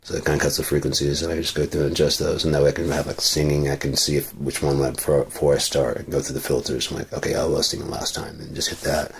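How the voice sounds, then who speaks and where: A man's voice: a bass voice, flat delivery, quick pace, gravelly, few pauses, slight background noise. A man talking casually on a livestream, rambling and swearing freely, into a processed studio microphone.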